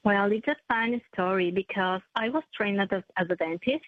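A woman, a prosthetist answering interview questions in a halting, self-correcting way. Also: mid-range voice, no echo. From Ecuador. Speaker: maxillofacial prosthetist, guest. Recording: tinny phone-like line, dry.

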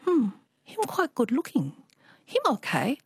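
A woman's voice: high-pitched, wildly swooping pitch, unhurried, husky, dry recording, studio quality, voice pushed up, heavily acted. A woman putting on a blunt Chinese-accented mother. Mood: shy. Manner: broken English, blunt and matter-of-fact. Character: plainspoken Chinese mother telling her story.

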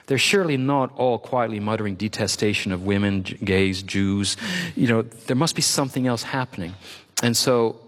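A man's voice: lowish voice, moderate pace, a bit bright, big-room sound. A middle-aged man from Quebec, whose first language is French, speaking as an author guest in an interview. He talks expansively, piling up examples and repeating words for emphasis. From Quebec, Canada. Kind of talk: reflective interview talk.

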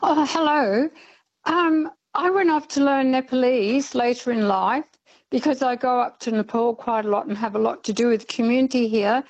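Older aussie lady caller, kinda high voice, muffled phone sound. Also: steady tone, moderate pace, darkish tone. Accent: Australian. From Australia.

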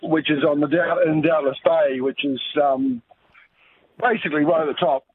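A man's voice: on the high side, fairly even intonation, husky. New man on a tinny phone line. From New Zealand. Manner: friendly, halting. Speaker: caller.

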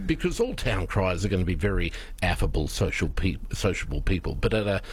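A man hosting an interview, asking relaxed, agreeable questions. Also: deep voice, brisk, slight background noise. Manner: relaxed, agreeable, frequent yeahs. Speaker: interviewer host. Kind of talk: casual interview chat.

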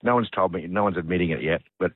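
A man's voice: low voice, fast, husky, no room sound. Jokey man on a tinny phone line. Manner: jokey, laughing. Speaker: radio presenter on location. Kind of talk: casual radio chat.

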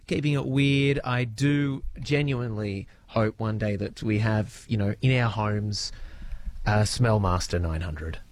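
A man's voice: lowish voice, measured pace, a little hiss or hum. A man hosting a radio show in a playful, jokey way. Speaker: radio host. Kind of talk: casual radio chat.

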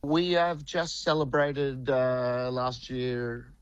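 A middle-aged man, a band's lead singer, talking in a relaxed, rambling way with lots of fillers. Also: medium pitch, takes it slowly, clean tone, dry recording, highs cut off. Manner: relaxed, rambling, many fillers, says "mate". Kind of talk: phone or studio interview.

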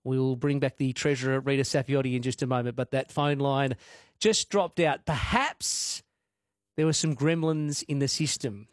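Radio host with a dry studio sound. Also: male voice, mid-range voice, moderate pace, no echo. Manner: chatty, self-deprecating. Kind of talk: talkback radio hosting.

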